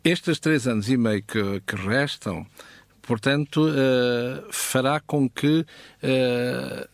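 A man with a European Portuguese accent expounding scripture conversationally as a Bible teacher, halting, with pauses and frequent check questions. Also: mid-range voice, vocal fry, dry recording.

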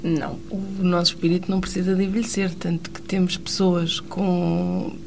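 A woman's voice: on the low side, little pitch movement, normal speed, creaky, slight background noise. A woman, a guest on a radio talk show, explaining things earnestly.

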